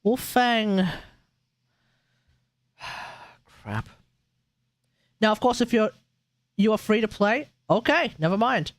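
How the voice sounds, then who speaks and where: High-pitched male game streamer. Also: expressive, slow pace, long pauses, no echo. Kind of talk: casual live stream commentary reviewing game builds.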